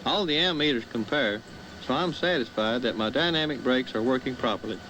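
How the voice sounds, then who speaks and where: Railroad foreman explaining procedure, faint rumble. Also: fairly quick. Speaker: railroad road foreman of engines. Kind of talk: scripted instructional narration. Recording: faint vehicle noise behind.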